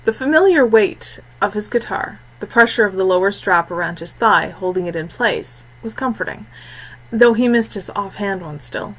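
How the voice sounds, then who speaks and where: A woman narrating a scripted fantasy story in a measured, expressive way with deliberate phrasing. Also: medium pitch, poor audio. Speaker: audiobook narrator.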